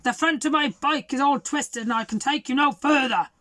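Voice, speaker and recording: A woman's voice: sits high, moderate pace, bright, forceful tone, no echo, pitched above the performer's own voice. A woman voicing a helpful young boy in a children's storybook, eager and apologetic.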